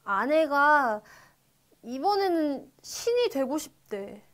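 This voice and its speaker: A woman's voice: high voice, sing-song, takes it slowly, fairly bright tone, full character voice. A woman playing a meek, devoted fisherman husband who pleads, in a children's storybook. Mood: hesitant.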